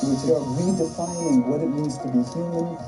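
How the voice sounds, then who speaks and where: A speaker delivering a formal, steady speech. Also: mid-range voice, normal speed, recorded from a distance, loud music behind the voice.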